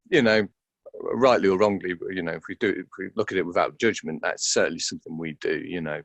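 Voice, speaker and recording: A man's voice: low voice, gravelly, no room sound. A man being interviewed as an actor, speaking reflectively and hesitantly, searching for words and repeating himself.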